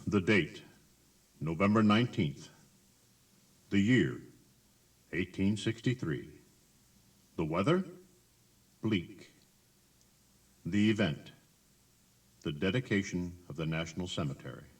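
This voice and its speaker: A man's voice: low voice, animated, slow pace, pauses often, husky. A man delivering terse dramatic narration in clipped, portentous phrases.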